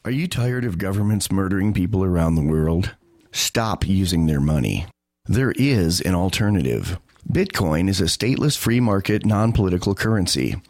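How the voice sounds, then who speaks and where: Urgent male ad read, deep-ish voice, dry sound. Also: a little mellow, dry recording. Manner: urgent, insistent pitch. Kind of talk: radio ad read.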